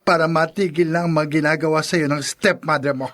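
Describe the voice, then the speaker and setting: A man's voice: on the high side, steady tone, fairly quick, fairly bright tone, lightly acted. An actor playing an earnest, indignant young man in love in a Filipino radio drama, pleading and insistent. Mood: urgent, persuading.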